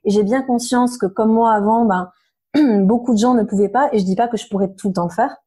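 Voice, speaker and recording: A woman in her thirties speaks as an interview guest, her voice slightly hoarse yet mellow and warm. She sits fairly high in pitch and talks frankly and animatedly, full of little fillers and restarts. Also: fairly quick. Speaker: interview guest, nutrition therapist. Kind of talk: casual conversational interview.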